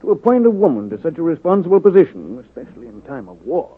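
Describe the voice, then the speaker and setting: A man's voice: on the high side, big pitch swings, fast, breathy edge. An actor playing a formal, reluctant male army surgeon general in 1940s radio drama style. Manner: formal, official. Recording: muffled old radio recording, phone-like band.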